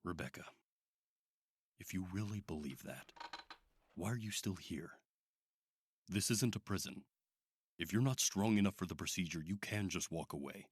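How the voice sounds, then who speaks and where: A man playing a calm, persuasive therapist in a radio drama, reassuring with a condescending edge. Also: deep voice, normal speed, long pauses, breathy edge, no room sound. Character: calm, persuasive male therapist at sinister institute. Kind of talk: modern radio drama acting.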